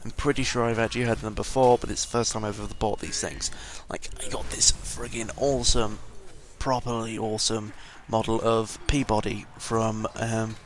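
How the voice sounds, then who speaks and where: A seventeen-year-old British young man rambling casually through an audio log, hesitant and tangential with mild swearing, sounding a bit under the weather. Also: lowish voice. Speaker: vlogger. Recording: noisy home microphone recording.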